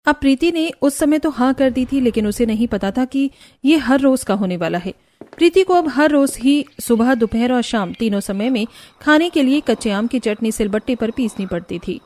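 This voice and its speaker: A woman's voice: a little high, lively intonation, normal speed, darkish tone. A woman reading scripted narration for a cartoon family story.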